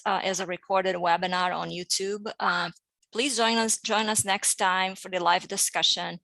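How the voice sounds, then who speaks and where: Bright, creaky-voiced woman hosting a webinar. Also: medium pitch, no room sound, poor audio. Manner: friendly, with frequent fillers. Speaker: host.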